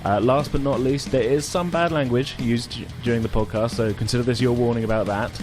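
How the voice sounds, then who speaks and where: A man's voice: medium pitch, fairly even intonation, fairly quick, music in the background. A man hosting a tabletop role-playing game as game master, narrating in a casual, loosely improvised way. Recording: noisy room ambience.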